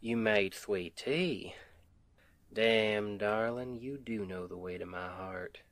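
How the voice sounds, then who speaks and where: Slow, low-voiced sweet Southern husband, acted by a man. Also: dry recording. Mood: tender, appreciative. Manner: affectionate, unhurried, folksy, gently teasing. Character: sweet doting southern country husband, playful and tender. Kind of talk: ASMR roleplay, intimate domestic scene.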